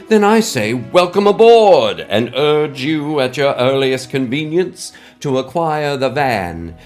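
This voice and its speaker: Pompous, theatrically swooping mystery benefactor played by a man. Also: mid-range voice. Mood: grandly welcoming. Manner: pompous, florid, formal, theatrical pauses. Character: mysterious wealthy male benefactor, pompous, grandiose, slyly menacing. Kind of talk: scripted audio drama monologue.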